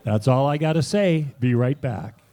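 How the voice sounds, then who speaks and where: Casual male host with a soft, mellow tone. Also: medium pitch, animated, normal speed. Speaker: live event host. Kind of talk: casual stage introduction. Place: live fundraising event.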